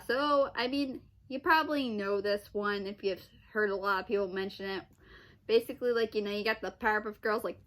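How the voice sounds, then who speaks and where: A woman's voice: fairly high pitch, fairly bright tone. A young woman giving casual, off-the-cuff video commentary in a rambling, hesitant way, in broken phrases with exclamations. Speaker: video host.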